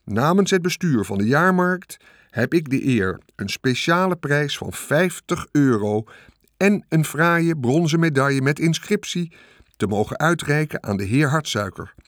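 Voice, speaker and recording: A man's voice: a little high, expressive, a little slow, no room sound, pitched above the performer's own voice. Storybook role: male reader as self-important fair announcer, formal oratory, raised voice, dry sound. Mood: grand, proclaiming. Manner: ceremonious, oratorical. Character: pompous male fairground announcer on a loudspeaker, formal and grandiose. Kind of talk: audiobook character voice.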